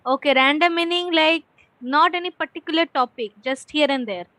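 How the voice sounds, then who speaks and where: A woman's voice: sits high, a little fast, bright, forceful tone, dry recording. A woman with an Indian accent, an English teacher chatting casually and encouragingly with a student through simple questions. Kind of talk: casual conversation practice.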